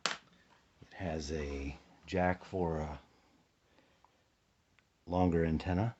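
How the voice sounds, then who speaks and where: An American man chatting casually through a hands-on demonstration, low-key and unhurried, thinking aloud and sounding a little sleepy. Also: steady tone, darkish tone, a little hiss or hum. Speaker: hobbyist demonstrating a shortwave radio. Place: indoors at a tabletop, close to the camera. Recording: dull, muffled home recording.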